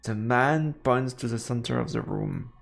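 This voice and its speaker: A man's voice: mid-range voice, a little slow, vocal fry, faint music behind. A man doing a let's play, reading game narration aloud and reacting casually as he goes.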